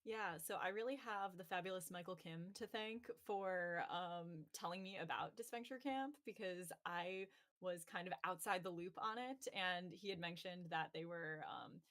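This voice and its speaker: A woman's voice: fairly low pitch, moderate pace, fairly bright tone. A woman voice actor talking casually on a video call, chatty with lots of fillers. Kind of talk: casual guest talk.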